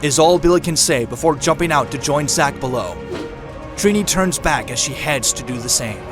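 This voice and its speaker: A man's voice: on the high side, expressive, moderate pace. A man reading scripted dramatic narration for an audio drama, describing action scenes as a storyteller. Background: clear dramatic music.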